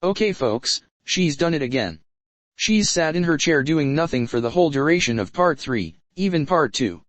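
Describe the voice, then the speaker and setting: A man's voice: on the high side, lively intonation, moderate pace. Man with a taunting, scornful commentary voice. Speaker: commentary channel host. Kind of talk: mocking commentary over clips.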